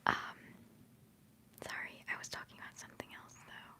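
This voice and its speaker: A young woman hosting a livestream, whispering softly as she rambles through chat messages and answers viewers fondly, holding back tears. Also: moderate pace. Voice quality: soft whispering voice. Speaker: livestream host.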